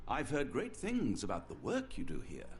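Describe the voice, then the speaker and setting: A grave, remorseful army surgeon. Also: male voice, mid-range voice, wildly swooping pitch, normal speed. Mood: polite. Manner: formal, grave and courteous. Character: guilt-ridden English army surgeon, middle-aged. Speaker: game character. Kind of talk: voice acting. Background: game music.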